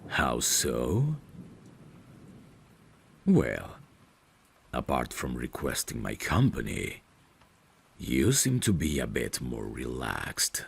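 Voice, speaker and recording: A man's voice: huge pitch swings, exaggerated voice. A man voicing a courteous, devoted, centuries-old vampire butler in an ASMR roleplay, speaking formally and deferentially. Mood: gently fond. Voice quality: very deep, creaky. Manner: formal, deferential, measured servant's phrasing. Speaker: ASMR voice actor. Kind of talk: ASMR roleplay, dramatic scripted acting.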